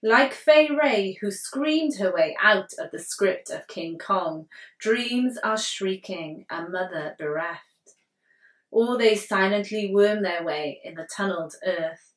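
A young woman reads poetry at an unhurried, slow pace, placing each phrase deliberately. Her voice sits at an average pitch. The room adds noticeable echo, as if the microphone is some way off. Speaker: poet. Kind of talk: poetry reading. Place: living room, indoors on a sofa. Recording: roomy living-room echo, distant mic.

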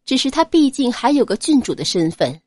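A woman narrating a serialized audio novel in a smooth, expressive storytelling manner. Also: sits high, brisk, dry recording, studio quality.

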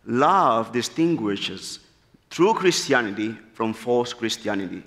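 A man in his thirties from the Republic, preaching an earnest, animated sermon at a church pulpit. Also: mid-range voice, slow. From Dominican Republic. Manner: animated, gesturing freely, earnest storytelling. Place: large church sanctuary, at a pulpit. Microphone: pulpit mic. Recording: long church hall reverb.

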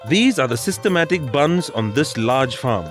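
A man's voice: medium pitch, lively intonation, moderate pace. A man reading scripted instructional narration for a documentary. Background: background music.

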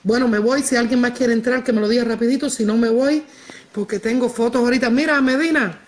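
A woman's voice: on the high side, fairly even intonation, fast talker. A middle-aged woman with a Cuban accent chatting casually on a livestream in an emphatic, repetitive way, addressing viewers directly. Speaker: livestream host. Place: large living room at home. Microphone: wired earphone mic.

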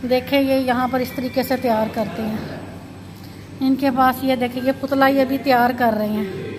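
A woman's voice: high voice, fairly even intonation, moderate pace, music in the background, rough recording. A woman from Pakistan, narrating a casual walking tour as a vlogger and pointing things out as she goes, recorded on a handheld phone. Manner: pointing things out, repeating "look at this". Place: chocolate shop and busy shopping street.

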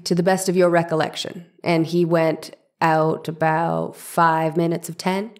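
A woman's voice: deep voice, little pitch movement, a little slow. A woman playing a courteous, careful male 1890s defense lawyer, questioning formally and precisely in a trial drama. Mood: courteous, insistent. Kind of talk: dramatic reading of trial transcript.

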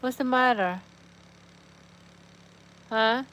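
A woman in her forties with a Filipino accent, chatting casually as a livestream host in simple, halting, repetitive phrases. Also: fairly high pitch, fairly bright tone, rough recording. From Philippines. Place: small indoor room on a couch at home. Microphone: phone at arm's length.

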